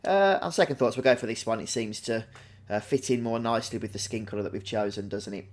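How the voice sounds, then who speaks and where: A man giving chatty, unhurried Let's Play commentary, explaining his choices aloud. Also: on the low side. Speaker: gaming video host.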